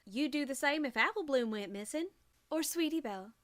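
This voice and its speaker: A woman's voice: high voice, expressive, fairly quick. A woman voicing a kindly, no-nonsense country farm mare, folksy and plain-spoken in a cartoon-style audio drama. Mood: folksy firmness.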